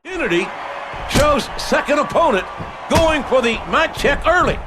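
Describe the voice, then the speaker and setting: An excitable match commentator calls video game wrestling. His voice is pitched extremely high for a man and swings widely. Loud noise surrounds him. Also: a little slow. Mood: excited. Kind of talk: sports commentary. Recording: very noisy match with ambient clatter.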